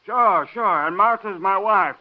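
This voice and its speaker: A man's voice: high voice, unhurried. An actor playing a drunk, rambling, bitterly amused down-and-out man in a 1950s radio drama. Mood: boastful, bitter. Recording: muffled dry vintage radio recording.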